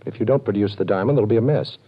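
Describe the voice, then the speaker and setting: An actor playing a cool, wisecracking ex-con detective in a 1940s radio drama, wry and self-assured. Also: male voice, on the low side, very fast, slightly rough. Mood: brisk. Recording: dull lo-fi old radio broadcast.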